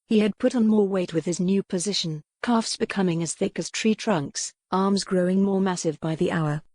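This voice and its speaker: A woman's voice: mid-range voice, moderate pace, a little mellow, no room sound, clean studio sound. A woman reading scripted narration as the narrator of a romance audiobook.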